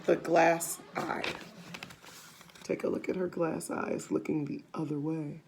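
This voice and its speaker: Female children's storyteller: unhurried, creaky, expressive, with simple explanatory asides. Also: on the low side. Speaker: read-aloud storyteller.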